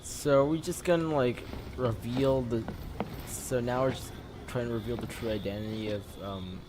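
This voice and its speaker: A man's voice: medium pitch, normal speed, background noise. A man streaming a video game, giving casual live commentary as he plays. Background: game music.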